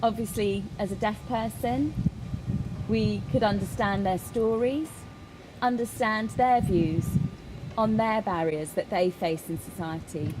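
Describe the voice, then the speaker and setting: A woman voicing a signed personal statement as an interpreter, in halting phrases with pauses. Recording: clear background noise, ambient rumble.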